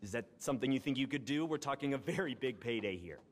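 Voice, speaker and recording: A cocky young American rich kid from a crime family, played by a male actor, pitching like a salesman in a game cutscene. His pitch jumps around freely and his voice rings in a big reverberant space. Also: lively intonation, fast, slight background noise, close to an everyday voice. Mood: tense, scheming. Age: young adult. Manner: cocky salesman patter, corporate buzzwords, swearing. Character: brash, entitled young crime heir with big plans. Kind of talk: video game cutscene acting.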